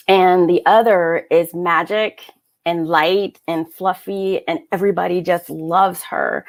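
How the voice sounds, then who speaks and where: A woman author and veteran on a panel, speaking directly and earnestly over a video call. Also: on the low side.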